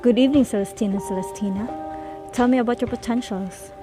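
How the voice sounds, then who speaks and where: A woman playing a brisk female investigator who questions students in short, curt prompts. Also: a little high, music in the background, natural voice. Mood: businesslike.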